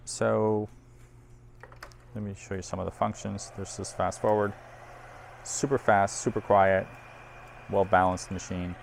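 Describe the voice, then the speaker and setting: A man's voice: fairly low pitch, steady tone, unhurried, gravelly, pauses often, slight background noise. A man presenting audio equipment in a casual hands-on demo, informal and thinking aloud as he handles the machine.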